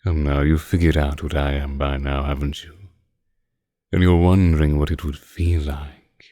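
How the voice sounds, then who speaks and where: Man acting a seductive male vampire, ASMR roleplay, very deep pitch, dark mellow tone, condescending purr, dry intimate sound. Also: flat delivery, no room sound. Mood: predatory, teasing. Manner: slow, teasing, condescendingly tender purr. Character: seductive, possessive male vampire toying with his captive.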